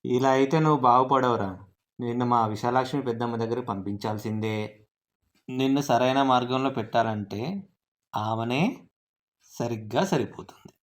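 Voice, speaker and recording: A man's voice: medium pitch, normal speed, pauses often, lightly acted. A man playing an exasperated father scolding his lazy son in a children's story.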